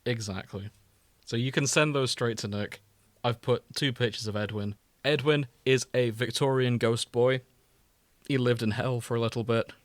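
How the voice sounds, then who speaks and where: A man's voice: mid-range voice, steady tone, normal speed, dry recording. Casual man co-hosting, close dry sound. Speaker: podcast co-host. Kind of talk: casual chat.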